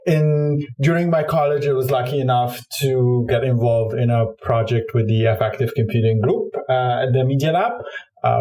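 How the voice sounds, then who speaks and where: A man's voice: medium pitch, a little mellow. A man from Egypt, explaining his work as an interview guest in a hesitant, self-correcting way. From Cairo, Egypt. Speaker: product manager, podcast guest. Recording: roomy echo, distant from mic.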